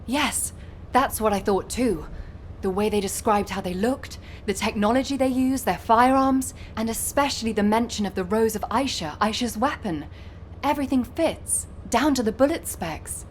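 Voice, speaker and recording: An actress voicing a composed, methodical military analyst in a science fiction video game. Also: female voice, fairly high pitch, normal speed, slight background noise, natural voice. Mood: explaining, intent. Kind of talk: video game voice acting, mission briefing.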